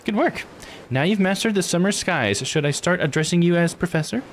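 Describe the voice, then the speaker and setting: Man putting on a high-pitched, eager guide. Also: animated, brisk, a little hiss or hum. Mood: bright, informative. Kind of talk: visual novel character read-aloud.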